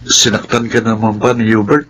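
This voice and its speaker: A man's voice: fairly low pitch, level tone, moderate pace, fairly bright tone, a little hiss or hum, close to an everyday voice, low-quality recording. An actor playing a concerned, kind-hearted young male friend in a Filipino radio drama. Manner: earnest, caring.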